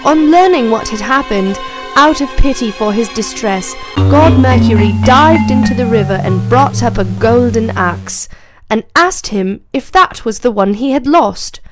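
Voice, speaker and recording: A woman narrating moral stories for children in a slow, clear, even storybook reading. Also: fairly high pitch. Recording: narration over clear background music. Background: background music.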